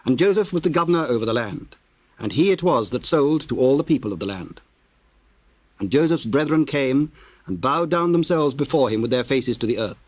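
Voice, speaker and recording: A man narrating scripture in a solemn, measured, formal way with theatrical diction. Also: medium pitch, expressive, no echo.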